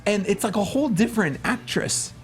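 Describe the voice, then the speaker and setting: A man's voice: high-pitched, animated, a little fast, faint music behind. A man co-hosting a casual movie panel, chatty and wry, often chiming in to agree. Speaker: co-host of a film review show.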